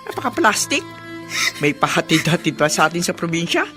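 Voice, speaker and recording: Animated, protective middle-aged mother. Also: lowish voice, brisk, music in the background. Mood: indignant. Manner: emphatic, motherly exhortation. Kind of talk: Filipino radio drama acting.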